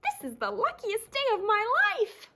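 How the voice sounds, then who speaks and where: A woman's voice: extremely high pitch, big pitch swings, measured pace, pushed and bright, pitched above the performer's own voice, full character voice. A woman voicing a sly, greedy male fox villain who gloats and sneers in a children's storybook. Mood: gleeful, gloating. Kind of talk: children's storybook read-aloud.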